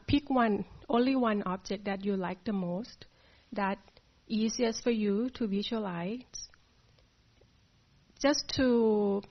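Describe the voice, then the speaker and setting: Thai-born woman leading a meditation class, soft and halting, bit dull lo-fi sound. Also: medium pitch. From Thailand. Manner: soft, hesitant, many pauses and fillers. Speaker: meditation teacher. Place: meditation hall.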